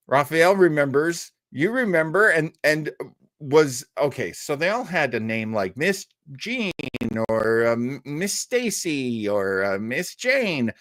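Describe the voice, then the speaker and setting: A man's voice: mid-range voice, a bit bright, dry recording, clean studio sound. A middle-aged man hosting a live show, chatting casually and playfully with his viewers in a jokey, easygoing way. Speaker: host of a live old-time radio listening show.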